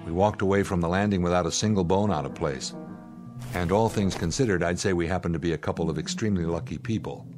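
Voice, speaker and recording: Radio drama: male actor as steady American ship's commander, very low register, clipped, lecturing delivery, music behind. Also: fast, close to an everyday voice. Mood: stern, commanding. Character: stern, steady male spaceship commander, stranded astronaut.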